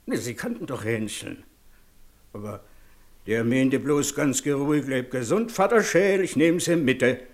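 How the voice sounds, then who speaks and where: A jovial country horse dealer in a naturalistic radio drama. His voice is middle-pitched, with a Silesian dialect, and he talks in a folksy, teasing storyteller's way. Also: expressive, a little slow. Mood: amused, animated. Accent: Silesian German. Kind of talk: naturalistic German radio play acting in dialect.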